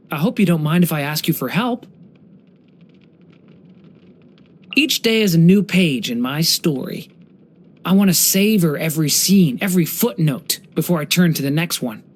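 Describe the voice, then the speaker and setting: Gentle, earnest young dragon boy. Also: on the high side. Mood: shy, friendly. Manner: earnest, polite, slightly hesitant. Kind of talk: video game character voice lines.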